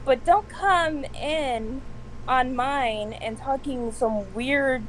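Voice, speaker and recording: A woman with a soft voice chatting casually on a video call, laughing often as she tells stories. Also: high-pitched, very slow, a little hiss or hum, poor audio. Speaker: stream host. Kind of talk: casual group chat.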